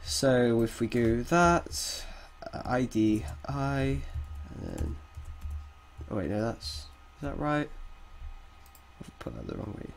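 A man with a cold, sounding a little croaky, thinking aloud through a coding tutorial with hesitant pauses. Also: medium pitch, big pitch swings, soft background music. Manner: thinks aloud while typing, hesitant, chuckles at mistakes. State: has a cold, slightly croaky. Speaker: game development tutor. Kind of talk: casual screen-recorded coding tutorial. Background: keyboard typing.

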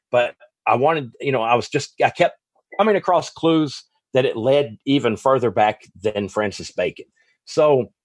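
A man's voice: medium pitch, no room sound. A man with a Texas accent, a guest author giving long, rambling answers in a podcast interview, often restarting and correcting himself. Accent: Texan.

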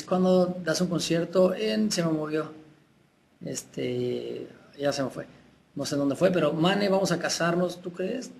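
A young man with a Mexican accent talks loosely into a laptop webcam, his voice sitting a touch high. He reads fans' names and sends greetings in a warm, chatty way. A faint hum of room ambience sits behind him. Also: creaky. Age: young adult. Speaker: singer answering fans on a webcam stream. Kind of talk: casual live chat reading fan messages. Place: room with tall windows, indoors.

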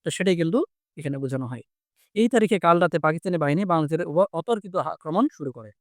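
Male Bangladeshi Bengali teacher, slightly high voice, dry close sound, explains conversationally and quizzes with repeated questions. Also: lively intonation, quick pace, no echo. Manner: conversational, repeats phrases and asks check questions. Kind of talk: interactive vocabulary lesson, explaining and quizzing.

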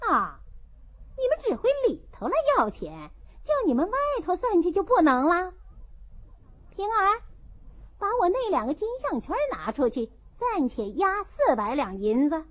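A woman voicing a sharp-tongued, bossy young household mistress in an audiobook, brisk and scolding. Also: very high voice, sing-song, voice pushed up, heavily acted. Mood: scolding, commanding. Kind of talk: audiobook character reading of a classical novel. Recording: dull lo-fi audio.

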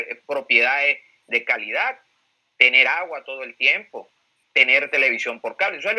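A man's voice: mid-range voice, sharp tone, rough recording. A Venezuelan man giving political commentary as a pollster, emphatic, repeating key phrases and posing rhetorical questions. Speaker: pollster, guest speaker.

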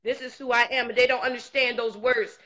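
High bright woman exhorting over a video call. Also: steady tone, fairly quick, muffled recording. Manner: exhortative, rhythmic repetition. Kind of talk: preaching-style talk.

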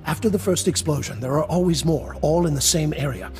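Gravelly pleading town mayor. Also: male voice, fairly high pitch, animated, a little fast, a little mellow, faint music behind. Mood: anguished, pleading. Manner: earnest, pleading. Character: distressed, pleading male desert town mayor. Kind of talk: dramatic video game voice acting.

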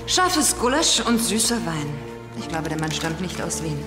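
An actress playing a forensic pathologist, matter-of-fact and dry. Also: female voice, fairly low pitch, big pitch swings, normal speed. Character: dry, self-assured female forensic pathologist and mother. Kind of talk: TV crime drama acting. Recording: roomy echo with music.

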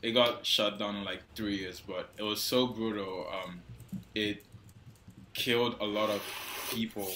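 Young Nigerian man, bright roomy voice. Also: on the low side, fairly even intonation, unhurried, a little hiss or hum. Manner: loose and hesitant, heavy use of "like" and restarts. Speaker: guest photographer. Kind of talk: casual interview answers. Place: small indoor room. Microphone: wired earphone mic on a video call. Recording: roomy echo.

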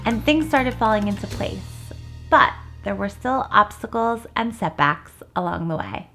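A woman host narrating a story in a calm, measured voice-over. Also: mid-range voice. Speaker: host and interviewer. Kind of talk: scripted voice-over narration and brief interview replies. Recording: faint music beneath.

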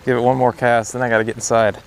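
A man's voice: mid-range voice, level tone, fast talker, a little hiss or hum, low-quality recording. A young American man chatting casually and informally to camera as a fishing vlogger outdoors. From United States. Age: young adult. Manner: easygoing, informal. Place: outdoors by a pond, windy.

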